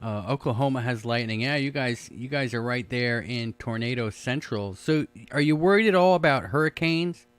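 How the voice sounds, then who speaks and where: A man's voice: medium pitch, normal speed, hardly any pauses, clean tone. A middle-aged man hosting a radio show, trading easygoing banter with a guest and laughing often, with stammered restarts. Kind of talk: casual radio chat.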